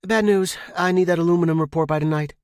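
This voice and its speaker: A curt, businesslike woman boss in a narrated story, acted out by a man. The voice is pushed up high and moves fast, pressing for results with an edge of impatience. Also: fairly even intonation, clear, resonant tone, no room sound, studio quality, close to an everyday voice. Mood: brisk, demanding. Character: brisk, demanding female office boss on edge. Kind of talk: narrated story character dialogue.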